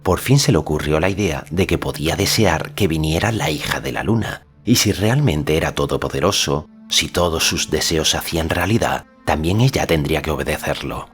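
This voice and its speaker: Very deep-voiced male audiobook narrator. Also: moderate pace, few pauses, soft background music. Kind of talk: scripted literary narration.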